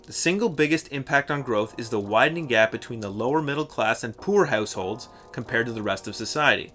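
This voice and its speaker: A man narrates a scripted video essay in a bright, forceful voice. His delivery is wry and sarcastic, at a middling pitch. Soft guitar music plays faintly behind him. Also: animated, a little fast.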